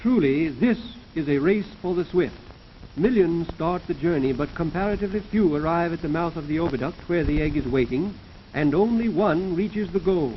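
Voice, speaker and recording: Male narrator, high-pitched, formal and measured, 1930s instructional film style, muffled vintage soundtrack. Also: lively intonation, a little hiss or hum. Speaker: narrator of an educational science film. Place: recorded voice-over for a film.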